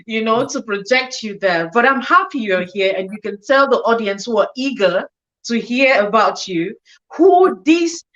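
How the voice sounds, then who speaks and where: A woman's voice: on the high side, expressive, normal speed, a bit bright, highs cut off. A woman from Cameroon hosting an online interview show, playful and teasing, often laughing with her guest. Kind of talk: lively hosted interview.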